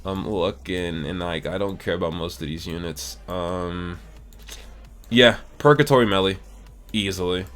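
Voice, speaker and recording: A man's voice: low voice, unhurried, a bit bright, faint music behind. A man in his twenties casually answering viewer questions about a mobile game, rambling and slangy. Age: 20s. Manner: laid-back, rambling, slangy. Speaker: gaming YouTuber. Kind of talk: casual Q&A chat to camera.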